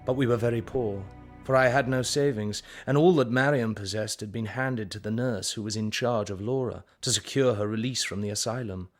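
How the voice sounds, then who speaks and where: An actor with an English accent playing an earnest, devoted young Victorian art teacher in an audio drama, speaking in literary phrases. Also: male voice, fairly low pitch, close to an everyday voice. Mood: sombre. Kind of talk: audio drama narration and acting.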